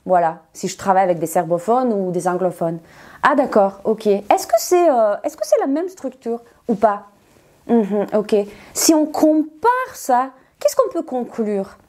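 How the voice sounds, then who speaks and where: Mellow-voiced teacher, woman. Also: medium pitch, sing-song, unhurried. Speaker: non-native French teacher, interview guest. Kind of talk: conversational explanation in an interview.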